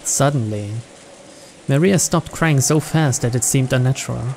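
A man's voice: mid-range voice, normal speed, gravelly, a little mellow, slight background noise. A man reading scripted story narration as host of a visual novel playthrough.

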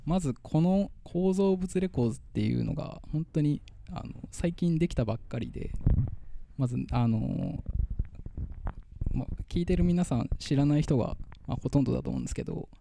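A man's voice: a little high, expressive, moderate pace, gravelly, noisy background. A man hosting a casual radio talk, rambling with many fillers into a handheld mic in a cafe studio. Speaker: host.

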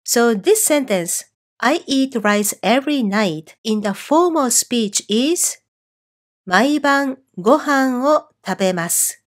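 A woman teaching a Japanese course online, explaining clearly and slowing down on example phrases. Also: a little high, animated. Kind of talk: scripted online lesson.

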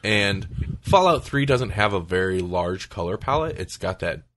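Casting: male podcast host, lower-pitched voice, casual gamer chat. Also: lively intonation, a little slow, fairly bright tone. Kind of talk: casual chat about video games.

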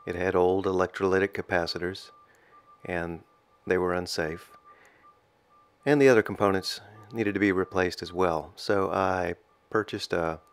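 A man giving a measured, halting voice-over narration as a hobbyist explaining his own project. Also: deep voice, flat delivery, smooth. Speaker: amateur radio hobbyist narrating own restoration.

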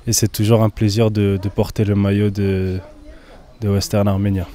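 A young man with a low, dark voice answers briefly and hesitantly outdoors, close to a handheld mic. His delivery stays flat and even. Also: normal speed. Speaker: footballer. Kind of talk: post-match style interview. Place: outdoors among trees. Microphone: handheld microphone held by interviewer.

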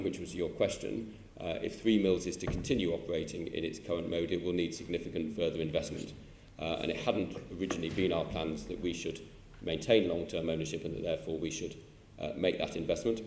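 An older man with a British accent speaks low and deliberately, pausing often on filler sounds as he answers questions. His voice carries through a large council chamber with a long reverberant tail and faint room noise. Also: flat delivery, creaky, darkish tone. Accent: British English. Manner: measured, halting, many filler sounds. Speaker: chief executive answering questions. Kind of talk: formal committee testimony. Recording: echoey hall with faint ambience.

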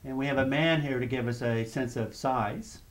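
A man narrating a step-by-step software tutorial over screen footage, explaining calmly with small restarts. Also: mid-range voice, moderate pace. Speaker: software demonstrator.

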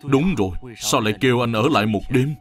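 A man playing an earnest, respectful monk disciple in an animated Buddhist drama. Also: medium pitch, a little fast, faint music behind, lightly acted.

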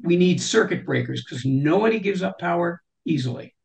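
A woman's voice: lowish voice, lively intonation, normal speed, gravelly, a little mellow. An older woman, a guest on an interview show, speaks from home over a video call, with many restarts and "you know" fillers. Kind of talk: interview conversation. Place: home room with shelves.

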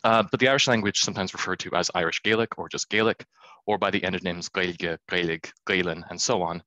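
Guy in his 30s giving a zoom lecture, real deep voice, bright and fast, lots of ums. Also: fairly even intonation, dry recording. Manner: quick, self-deprecating, many fillers. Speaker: conference speaker. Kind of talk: academic presentation. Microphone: video call.

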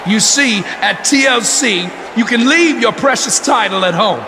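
A man playing a menacing cult-leader villain in a wrestling video game, theatrical, with dramatic pauses. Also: very high-pitched, expressive, heavily acted. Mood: menacing, gloating. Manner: theatrical, slow dramatic pauses, sudden shouts. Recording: echoing arena reverb, noisy background.

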